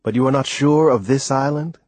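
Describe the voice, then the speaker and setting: A man's voice: medium pitch, fairly quick, a little mellow, no echo, pitched above the performer's own voice, natural voice. A man playing an anxious young American boy who speaks in plain questions in an audiobook. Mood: anxious questioning. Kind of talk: audiobook character voice.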